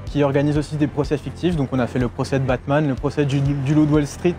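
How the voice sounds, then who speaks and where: A man's voice: medium pitch, flat delivery, fast. A young man, a student and debate society president, talking fluently and thoughtfully in an interview. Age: young adult. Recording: music bed under the voice.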